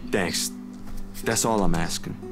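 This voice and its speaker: Weary, troubled young friend in a game, low-pitched, guitar music underneath. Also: male voice, measured pace. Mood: subdued, confiding. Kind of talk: visual novel game acting.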